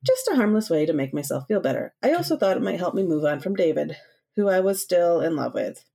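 Easygoing lower-voiced woman. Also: big pitch swings, brisk, vocal fry, warm tone, breathy edge. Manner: easygoing, hesitant, backtracks while telling. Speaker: podcast co-host. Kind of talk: casual chat, reading listener letter.

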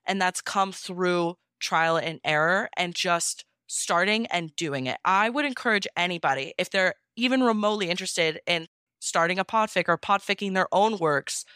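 A woman's voice: lowish voice, fairly bright tone, clean tone, dry recording, clean studio sound. A woman talking casually and enthusiastically as an interview guest, in an informal, encouraging way. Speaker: podfic narrator being interviewed.

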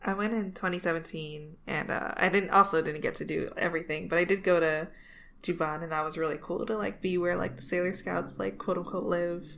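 A woman's voice: fairly low pitch, steady tone, brisk, vocal fry, a bit bright. A woman hosting a podcast, enthusiastic and upbeat, who laughs often as she chats with her guest. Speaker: podcast host. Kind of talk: casual podcast interview.

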